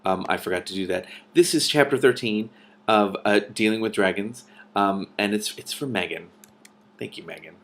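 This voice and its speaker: Casting: male book reader, slightly low pitch, expressive narration, casual commentator style. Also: normal speed.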